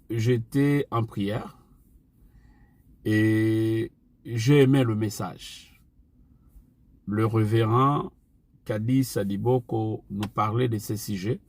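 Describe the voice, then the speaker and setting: Slow, gravelly preacher. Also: male voice, mid-range voice. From Angola. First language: Portuguese. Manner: slow, deliberate preaching with repeated refrains. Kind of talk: online sermon.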